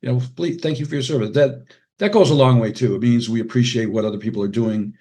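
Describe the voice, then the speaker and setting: A man's voice: medium pitch, fast, warm tone. Older American man, casual and anecdotal. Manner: conversational, anecdotal, often quoting others. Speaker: podcast guest, retired radiologist and author. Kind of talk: casual reminiscing in an interview.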